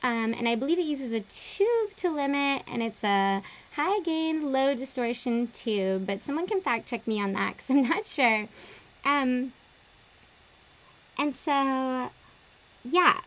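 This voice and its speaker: A young woman producer casually walking through her vocal processing in a home studio, relaxed and chatty with plenty of fillers. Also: sits high, takes it slowly. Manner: relaxed, explanatory, uses fillers like um and like. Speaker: music producer. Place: small home studio indoors.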